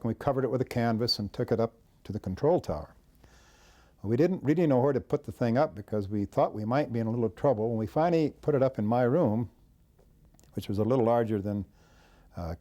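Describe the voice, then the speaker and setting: An elderly American man with a soft, mellow voice recounts his wartime service. He speaks plainly and in a measured way, like a veteran going through old memories and photographs. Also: mid-range voice. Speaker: war veteran, former air traffic controller.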